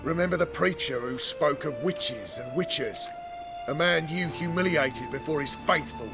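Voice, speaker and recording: An actor playing a menacing, self-righteous guard in a video game cutscene, speaking formally and threateningly. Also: male voice, a little high, normal speed, over music, lightly acted.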